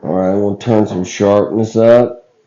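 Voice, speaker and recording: A man hosts casually in a deep, very mellow and dark voice, rough and hoarse from illness. He talks slowly and haltingly, in plain folksy words. The recording is slightly dull and lo-fi. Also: steady tone. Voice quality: rough, scratchy voice. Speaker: video channel host. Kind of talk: casual footage commentary.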